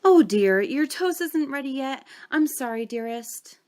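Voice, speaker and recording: A woman's voice: sits high, lively intonation, a little slow, dark tone. A woman playing a besotted young wife in a horror comic drama, speaking in a theatrical, melodramatic way. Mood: sweet, doting. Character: besotted young wife, cold at first, then gushing with adoration.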